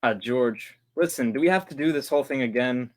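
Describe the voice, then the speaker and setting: A man's voice: mid-range voice, brisk, close to an everyday voice. A man playing an easygoing, wisecracking radio actor in a 1940s-style radio play. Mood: wry. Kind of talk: old-time 1940s radio play acting.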